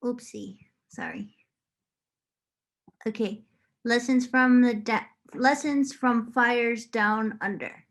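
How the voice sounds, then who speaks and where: A woman's voice: a little high, sing-song, slow, long pauses, fairly bright tone. A woman doing an informal, unrehearsed read-aloud. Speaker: reader.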